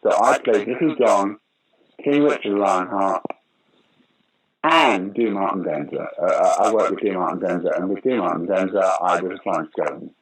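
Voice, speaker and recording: An older man, a guest actor and comedian, telling stories in an interview with sudden loud bursts of enthusiasm. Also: deep voice, normal speed, slightly rough, low-quality recording. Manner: animated anecdotal storyteller with sudden loud bursts. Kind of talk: conversational interview storytelling.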